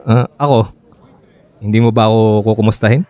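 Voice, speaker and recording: A man's voice: on the low side, fairly even intonation, moderate pace, a little mellow, no room sound, natural voice. A man acting a familiar, forward young ex-boyfriend in a Filipino radio drama, with a Filipino accent. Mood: surprised, friendly.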